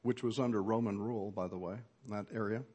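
A middle-aged man preaching an earnest sermon at a measured pace, with occasional fillers. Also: on the low side, steady tone, mellow. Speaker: pastor.

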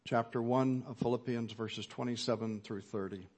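Man preaching an earnest, measured sermon. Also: mid-range voice, steady tone, darkish tone. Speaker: pastor.

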